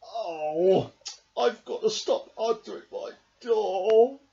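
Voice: medium pitch, vocal fry, warm tone, slight background noise, voice pushed down. A storybook reader voicing a grumpy, weary bear who wants to be left alone, exasperated and drawling. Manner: exasperated, drawn-out, groaning and sighing. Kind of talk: picture book read-aloud character voice. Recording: dull lo-fi home recording.

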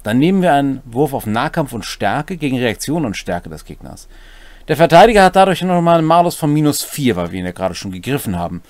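A man in his forties walks through game rules in a casual, playful way. His voice sits a bit high and swings widely in pitch, recorded close and dry in a small room. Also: darkish tone, no echo. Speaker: co-host explaining game rules. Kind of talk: casual rules walkthrough with joking asides. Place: small home room, indoors.

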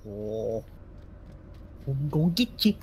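A man playing an excitable, grumbling, bumbling Southern county sheriff in comic game roleplay, full of folksy griping. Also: mid-range voice, slow, a little hiss or hum. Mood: fussing. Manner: folksy griping, mild cussing.

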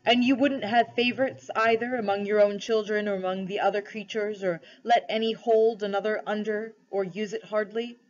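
A woman's voice: mid-range voice, fairly even intonation, normal speed, hardly any pauses, close to an everyday voice. A great storybook lion played by a woman reader, grave and regal. He speaks formally and with authority, in a slightly dull, lo-fi recording. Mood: grave questioning. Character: great solemn male lion king, commanding and wise.

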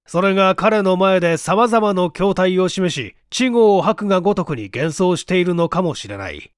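An eager, smug writer from a storybook, acted by a man, rambles through his explanations. His pitch swings widely, and the sound is close and dry. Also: lively intonation, moderate pace, clean tone, dry recording. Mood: absorbed, smug. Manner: self-satisfied, explanatory, rambling.

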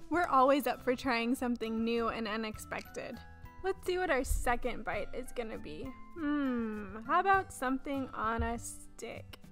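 A woman's voice: a little high, lively intonation, a little slow. A young woman hosting a casual food vlog, speaking with upbeat enthusiasm. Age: young adult. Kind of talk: casual travel vlog narration. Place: outdoor night market. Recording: busy outdoor market crowd, music behind.

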